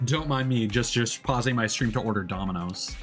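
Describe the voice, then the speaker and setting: A man live streaming in casual chat, talking in a chatty, hesitant way with trailing pauses. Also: medium pitch, a bit bright. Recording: music playing behind the voice. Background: music.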